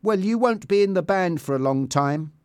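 A man's voice: sits high, expressive, normal speed, darkish tone, clear, resonant tone, no room sound, voice pushed up. A man voicing a guarded, jealous young woman with an earnest, defensive manner. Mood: jealous, angry.

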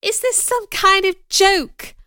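A woman's voice: sits high, animated, measured pace, a bit bright, clear, resonant tone, no echo, studio quality, heavily acted. A woman voicing a passionate young governess in a storybook, hurt but defiant, speaking with emotional emphasis. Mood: incredulous.

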